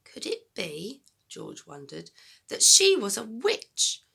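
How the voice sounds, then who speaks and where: Woman, expressive storybook narration, very creaky edge, lively swooping pitch, slow delivery. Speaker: teacher reading aloud.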